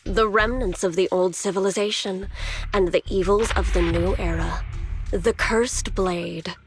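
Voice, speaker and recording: A woman's voice: mid-range voice, fairly even intonation, a little slow, soft background music, lightly acted. A woman playing a smug, scheming villainess in a video game, theatrical and taunting, with cool menace. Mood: mocking. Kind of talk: theatrical scripted game dialogue.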